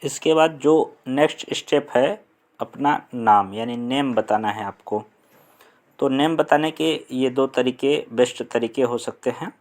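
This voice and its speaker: A young man from India with a Hindi accent, explaining an English lesson slowly and deliberately, pausing on fillers. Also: mid-range voice. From Uttar Pradesh, India. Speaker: English teacher.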